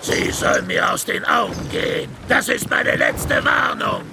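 A man voicing a blustering, short-tempered cartoon pirate captain who bellows threats, with loud music behind him. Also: high-pitched, unhurried, gravelly, noisy background, full character voice. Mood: furious.

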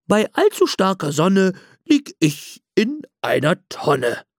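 A reader doing a slow, scornful storybook bull. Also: medium pitch, wildly swooping pitch, no room sound, studio quality, pitched above the performer's own voice, heavily acted.

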